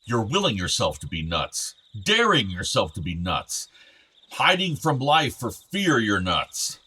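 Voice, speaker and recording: A man's voice: lowish voice, huge pitch swings, a little slow, pushed and bright, slightly rough, heavily acted. An actor playing an older, weary, worried father pleading with his troubled son, in a naturalistic radio play. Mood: pleading, urgent.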